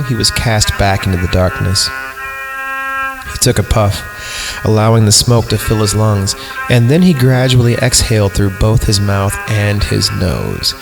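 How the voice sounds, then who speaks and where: A man hosting a comedy podcast, reading a horror story aloud with sarcastic asides and mock-serious pauses. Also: on the low side, vocal fry, rough recording. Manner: sarcastic asides, breaks into laughter, mock-reads punctuation. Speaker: podcast host. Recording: music playing under the voice.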